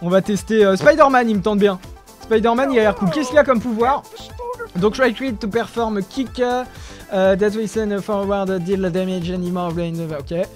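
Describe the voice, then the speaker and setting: A young man giving excited commentary over his own gameplay, exclaiming often and talking straight to his viewers, recorded on a desk mic with headphones on. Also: sits high, moderate pace, faint music behind. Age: young adult. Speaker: gaming video creator.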